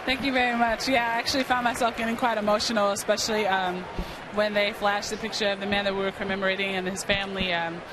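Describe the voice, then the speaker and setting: A woman's voice: mid-range voice, little pitch movement, fast talker. An American woman in her early twenties from New York, speaking earnestly and conversationally as an athlete being interviewed. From New York, United States. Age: early 20s. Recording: clear outdoor stadium noise behind. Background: outdoor ballpark ambience.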